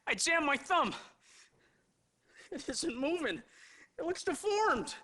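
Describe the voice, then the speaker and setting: An injured patient complaining in an emergency video game. Her voice is slightly high, sharp and forceful. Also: sing-song, slow pace, exaggerated voice. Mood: hurt, incredulous. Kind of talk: video game live-action acting.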